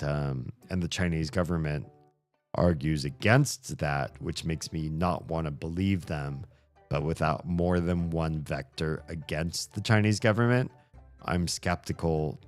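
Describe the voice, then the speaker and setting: Man, low voice, unhurried, casual stream chat, hesitant, thinking aloud. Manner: musing, hesitant, thinking aloud with many pauses.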